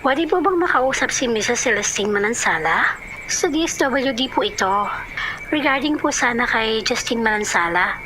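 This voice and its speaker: A woman plays a polite Filipino social welfare officer on a call. Her voice is high and formal, with clear noise around it. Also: expressive, moderate pace, natural voice. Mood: courteous, reassuring. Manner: courteous, formal. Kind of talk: drama acting of a phone call.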